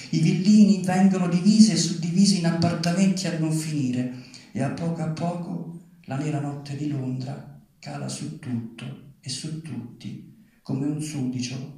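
A man's voice: on the high side, a little mellow. A man giving a dramatic reading of literary prose aloud. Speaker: reader at a live literary reading. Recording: very echoey hall, far from mic.

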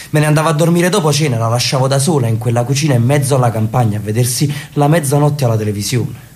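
A man's voice: medium pitch, darkish tone, lightly acted. A man voicing an elderly, solitary brother in a radio drama, speaking in a brooding, reflective way.

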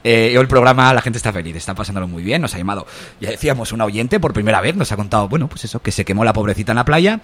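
A man hosts a casual radio show in a low, husky voice. His delivery is quick and lively.

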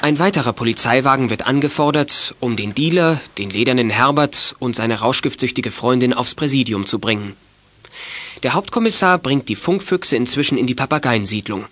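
Medium-pitched male storyteller of audio drama. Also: lively intonation, moderate pace, a bit bright, no echo. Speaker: narrator. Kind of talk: scripted children's audio drama narration, present tense.

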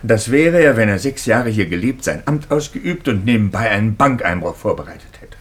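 An earnest priest in an old radio play, with a low, husky voice. He stands by his convictions with steady emphasis. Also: moderate pace. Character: earnest male parish priest defending a friend. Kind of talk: 1950s radio drama acting.

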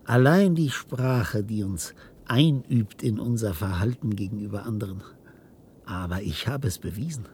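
An actor playing an obsessive, cold scientist in a radio drama, lecturing with intensity and secretive command. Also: male voice, lowish voice, animated, normal speed, darkish tone, a little hiss or hum, natural voice. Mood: intense, triumphant.

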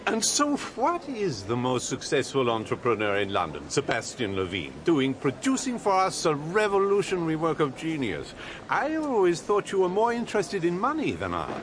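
Shrewd, teasing critic, swooping intonation. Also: male voice, fairly high pitch, huge pitch swings, moderate pace, noisy background. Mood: teasing, insistent. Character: urbane, inquisitive male arts critic, teasing and shrewd. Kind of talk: radio drama acting.

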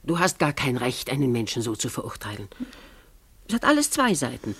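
An actress playing a fussing, doting elderly mother in a German radio play, with a husky voice. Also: a bass voice, big pitch swings, a little fast, fairly bright tone, full character voice. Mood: reproachful. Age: older. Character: fussing, doting elderly mother, reproachful yet soft-hearted.

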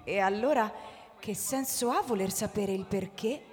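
A woman's voice: mid-range voice, moderate pace, lightly acted. An actress playing a kindly, pious, matter-of-fact old grandmother in a radio drama, with emphatic delivery. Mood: firm, philosophical. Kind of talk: live radio drama acting. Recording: reverberant hall, faint music behind.

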